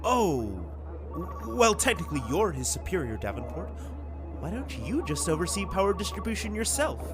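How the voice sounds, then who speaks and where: A petulant city commissioner who leaps wildly in pitch as he whines and needles. He sounds childish and indignant in a comic audio drama, with music clearly behind him. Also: sing-song, moderate pace. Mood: deflated, probing. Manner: childish, indignant, sarcastic bickering. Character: petulant, whiny male public safety commissioner, squabbling executive. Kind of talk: comedic audio drama acting.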